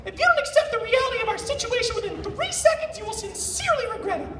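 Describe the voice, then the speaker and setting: Echoey, noisy room, woman doing tiny female cartoon creature, very high, irritable, menacing. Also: expressive, fast talker, far from the mic. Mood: angry, threatening. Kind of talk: live fan fiction reading. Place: convention panel room.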